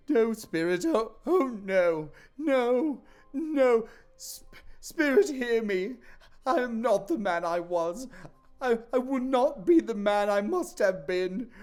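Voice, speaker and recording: A man's voice: expressive, slow pace, darkish tone, faint music behind. Scrooge, a man playing a pleading old miser. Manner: desperate, begging, voice straining high. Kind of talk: audio drama acting.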